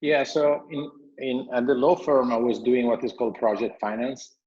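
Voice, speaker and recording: A man in his thirties from Italy with an Italian accent, a guest on a video call interview, speaking in a measured way with many restarts. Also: medium pitch, a little mellow. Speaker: guest, company founder. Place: bright room at home with a window. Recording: dull, lo-fi call audio.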